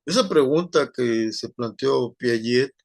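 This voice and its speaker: A man's voice: medium pitch, slow, creaky, no echo, clean studio sound. A man teaching an online class, rambling, with many fillers and tag questions.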